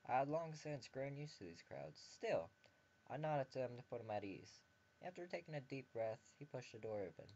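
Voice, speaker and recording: A man's voice: medium pitch, animated, moderate pace, darkish tone. A man reading a visual novel aloud as a game video host, with joking comments between passages. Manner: reads narration and dialogue in a mostly plain voice, joking asides. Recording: dull lo-fi home recording with faint background ambience.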